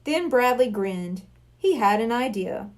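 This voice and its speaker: A woman's voice: a little high, lively intonation, unhurried, darkish tone. A woman reading a children's storybook aloud as scripted narration. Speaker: library reader of a children's book.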